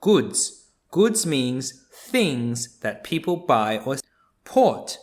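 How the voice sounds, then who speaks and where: A man reading a children's nonfiction book aloud, explaining things simply and deliberately for young listeners. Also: medium pitch, big pitch swings.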